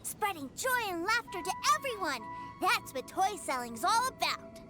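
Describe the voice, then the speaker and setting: Squeaky, gleeful little boy. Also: sing-song, normal speed, loud music behind the voice. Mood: awestruck. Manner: gleeful, awestruck. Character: wide-eyed, cheerful, innocent little boy. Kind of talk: video game character acting.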